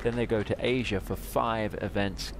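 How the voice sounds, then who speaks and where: A man calling a women's golf broadcast as play-by-play announcer, with a smooth, measured delivery. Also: fairly low pitch, steady tone, background noise. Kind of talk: TV golf commentary.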